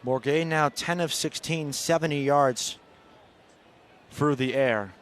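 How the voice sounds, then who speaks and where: A man with a fairly high-pitched voice gives live radio play-by-play of college football. He describes the action in short, clipped phrases. His delivery is built to rise with the big plays. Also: slow pace.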